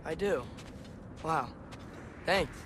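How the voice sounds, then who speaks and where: A man's voice: fairly high pitch, drawn-out words. A young-sounding man voicing an earnest, slightly unsure adolescent warrior boy in a video game. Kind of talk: cinematic video game acting. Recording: loud ambient noise behind.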